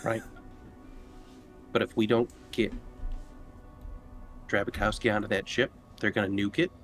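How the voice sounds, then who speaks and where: Male tabletop player, lowish voice, casual in-character dialogue and game chat over faint music. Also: little pitch movement, unhurried. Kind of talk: in-character roleplay mixed with casual game talk.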